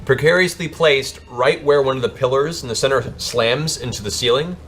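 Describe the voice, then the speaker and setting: A man's voice: medium pitch, normal speed, a bit bright. Male game master, dramatic narration over music. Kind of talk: dramatic improvised narration of a tabletop fantasy game. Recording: music behind the voice. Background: background music.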